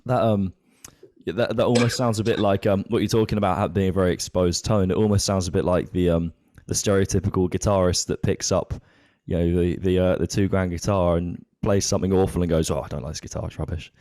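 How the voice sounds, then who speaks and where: Hesitant low-voiced male podcast host. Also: fairly even intonation, fairly quick, warm tone, dry recording, clean studio sound. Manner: hesitant, many fillers and repeated words. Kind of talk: casual podcast conversation.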